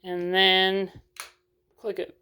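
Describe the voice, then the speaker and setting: A woman's voice: medium pitch, completely flat delivery, drawn-out words, sharp tone, clean tone. A woman chatting casually through a doll unboxing review, frank and full of fillers, while losing her voice.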